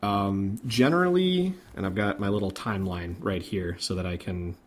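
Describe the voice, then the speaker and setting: A man's voice: fairly low pitch, normal speed. A man hosting a podcast, recounting news in an informal, conversational way. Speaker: podcast host. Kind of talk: casual podcast chat about game industry news.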